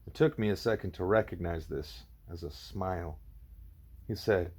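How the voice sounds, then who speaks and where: A man reading a first-person story aloud as an expressive audiobook narrator. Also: low voice, moderate pace, slightly rough. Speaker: audiobook reader.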